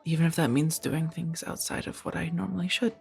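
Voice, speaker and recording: A woman acting in a soft-spoken ASMR roleplay as a kind, conflicted former warrior monk turned farmer, hesitant and earnest. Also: low voice, fairly even intonation, brisk, vocal fry, faint music behind.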